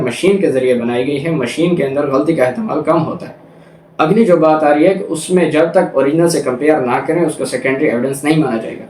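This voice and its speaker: A man's voice: on the high side, a little hiss or hum. A man with a Pakistani accent giving an explanatory lecture, reading out formal text and restating it step by step with examples. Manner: didactic, repeats and rephrases points with examples. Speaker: law lecturer. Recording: echoey room, distant microphone.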